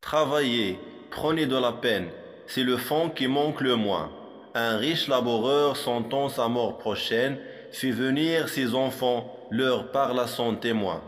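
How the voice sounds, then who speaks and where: Male reciter for school poetry: unhurried, measured, instructive delivery, mid pitch, big reverberant hall sound. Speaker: poem reciter for a primary school lesson.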